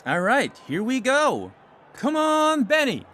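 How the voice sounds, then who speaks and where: Audio drama casting: man as a questioning high school boy, high-pitched, extremely animated. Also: takes it slowly, heavily acted. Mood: tense, hopeful.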